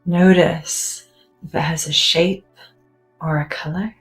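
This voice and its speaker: A woman with a low, unhurried voice guides a meditation, speaking softly and soothingly with long pauses between phrases. Now and then she sinks almost to a whisper. Faint music plays quietly behind her. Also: fairly even intonation, poor audio. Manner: soft, gentle and soothing, with drawn-out pauses.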